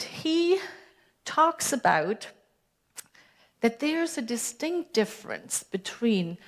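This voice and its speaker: A woman minister with a German accent, giving a conversational sermon and putting questions to her congregation. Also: a little high. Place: church sanctuary.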